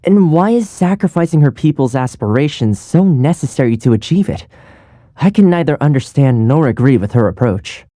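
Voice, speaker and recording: An actor playing a friendly young male housekeeper in a video game, speaking in a warm, easygoing, earnest and chatty way. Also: mid-range voice, expressive, normal speed, no echo, studio quality, close to an everyday voice. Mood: earnest, thoughtful. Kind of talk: scripted game character voice lines.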